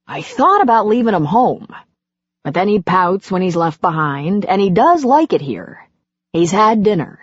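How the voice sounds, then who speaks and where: A woman's voice: medium pitch, sing-song, moderate pace, breathy edge, dry recording. A woman doing an easygoing, teasing older man. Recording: dry, dull lo-fi audiobook recording.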